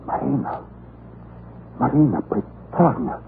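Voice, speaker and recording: A cruel commandant in an old radio horror play, gravelly and swinging wildly in pitch. He blusters and stammers as his nerve goes, heard through a thin, tinny vintage recording. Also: big pitch swings, a little slow. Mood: aghast. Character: cruel commandant, panicked and pleading for his life. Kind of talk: 1940s radio horror acting. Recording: old narrowband radio recording, faint noise.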